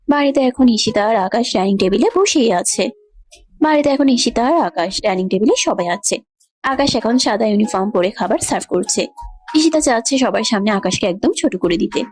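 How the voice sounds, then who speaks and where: A woman's voice: a little high, a little mellow. A woman reading scripted narration for a romantic story.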